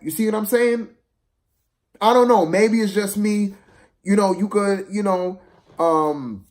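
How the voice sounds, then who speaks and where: A man's voice: a little high, a little mellow. A man giving a casual, unscripted film review to viewers, rambling and hesitant, often repeating himself.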